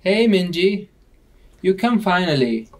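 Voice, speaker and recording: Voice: medium pitch, big pitch swings, takes it slowly. A performer voicing a sociable party-planning puppet in an amateur skit, speaking careful, learner-like scripted English. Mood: mildly reproachful. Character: sociable party host among puppet friends.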